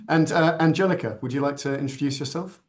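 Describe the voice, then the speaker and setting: A man moderating a business panel discussion, polite and a little halting with frequent ums. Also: a little high.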